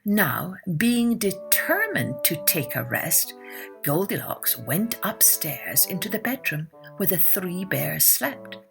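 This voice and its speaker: A woman's voice: fairly low pitch, sing-song, takes it slowly, vocal fry, over music. A woman narrating a children's fairytale as a podcast storyteller, expressively and with dramatic emphasis.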